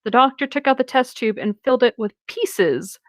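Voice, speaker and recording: A woman's voice: fairly high pitch, animated, normal speed, dry recording. A woman co-hosting a casual comedic read-along, moving between reading a story aloud and chatting. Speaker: co-host reading fan fiction aloud.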